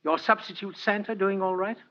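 A man's voice: sits high, fairly even intonation, fairly quick, natural voice. An older man playing a kindly, earnest old Santa Claus in a 1940s radio drama, with genteel, heartfelt oratory. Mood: hesitant, gentle. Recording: dull vintage 1949 radio recording, music behind.

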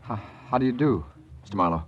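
An actor playing a meek, flustered husband in a 1950s radio drama, hesitant and stammering, on a vintage recording. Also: male voice, on the low side, animated, fast, phone-quality sound, slight background noise, close to an everyday voice. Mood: nervous, flustered.

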